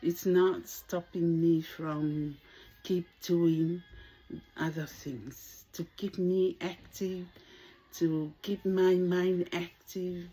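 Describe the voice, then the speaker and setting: A woman's voice: lowish voice, flat delivery, drawn-out words, dark tone, a little hiss or hum, low-quality recording. A Samoan woman in her sixties, speaking English as a second language, talking to camera in a hesitant way as she searches for words. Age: 60s. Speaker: vlogger. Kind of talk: casual talk to camera.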